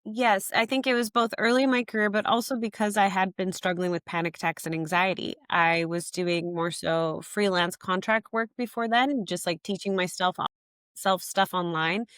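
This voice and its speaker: A woman's voice: medium pitch, fairly even intonation, normal speed, fairly bright tone, smooth, no echo, clean studio sound. A young woman founder answering interview questions thoughtfully, often saying "like" and acting out her own past thoughts. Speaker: app founder, guest.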